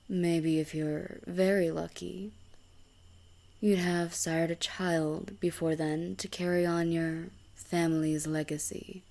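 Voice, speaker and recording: A woman's voice: fairly low pitch, dark tone. A woman playing a smug, taunting assassin villain in a fantasy audio roleplay, mocking and coolly seductive. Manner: mocking, unhurried, breathy chuckles.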